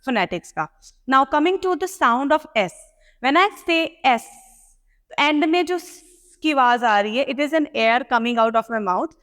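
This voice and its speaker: In a reverberant room, a woman with an Indian accent teaches in a high-pitched voice. She is didactic and articulates deliberately, often posing questions as she explains. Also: fairly bright tone. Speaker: English pronunciation and test-prep teacher. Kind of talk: instructional lesson mixing explanation and read-aloud demonstration.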